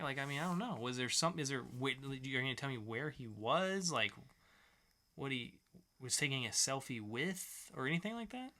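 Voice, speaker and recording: Bright-voiced man hosting a podcast. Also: medium pitch. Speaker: podcast co-host. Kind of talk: bantering podcast game-show hosting.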